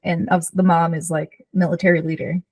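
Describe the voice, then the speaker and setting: A woman's voice: on the low side, flat delivery, brisk, a little mellow, no echo. A woman co-hosting a casual book podcast, talking informally with plenty of conversational "like". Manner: informal, conversational, heavy use of "like". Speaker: podcast co-host. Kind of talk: casual book chat between friends.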